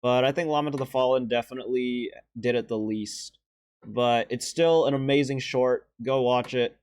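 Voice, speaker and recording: A young man with a deeper voice giving casual, earnest and emotional commentary as he ranks favorite animated shorts, with sighs and restarts. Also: moderate pace, smooth, dry recording. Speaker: video creator ranking animated shorts.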